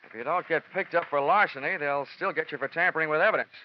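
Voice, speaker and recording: An actor playing a wisecracking, cynical insurance investigator in a mid-century American radio detective drama. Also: male voice, fairly high pitch, fast, noisy background, close to an everyday voice. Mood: coolly needling. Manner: wry, cynical, slangy. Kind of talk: 1940s-50s radio detective acting. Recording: dull vintage radio recording, music behind.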